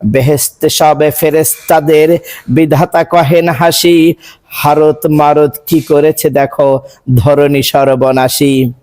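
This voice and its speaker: A man reciting a poem in a measured, rhythmic, declamatory way. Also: a little high, little pitch movement, a little mellow. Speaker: poetry reciter. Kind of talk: dramatic recitation of a Bengali poem.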